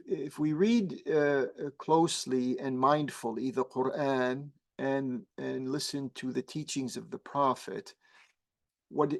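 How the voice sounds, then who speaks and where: A man whose first language is Arabic, a guest imam explaining religious teaching slowly and thoughtfully, pausing often with fillers. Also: mid-range voice. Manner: measured, halting with many fillers.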